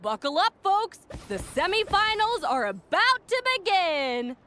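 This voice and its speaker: A woman's voice: extremely high pitch, big pitch swings, a little slow, sharp tone, slight background noise, full character voice. A woman voicing a peppy, showy female tournament announcer in a video game, theatrical and emphatic. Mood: excited, showy. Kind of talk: video game sports commentary.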